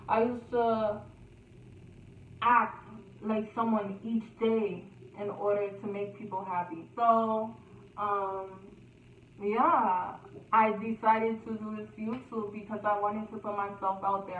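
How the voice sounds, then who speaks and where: Young woman, slow unhurried talk, chatty storytime vlog, phone-quality sound from across a roomy space. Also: medium pitch. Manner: slow, chatty, repeats phrases, laughs at herself. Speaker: YouTuber. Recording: echoey, distant, phone-like, faint hiss.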